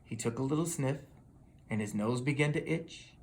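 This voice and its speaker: A person reading a children's story aloud in an expressive, dramatic manner. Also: mid-range voice, normal speed. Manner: expressive, with dramatic sound words. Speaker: storybook reader.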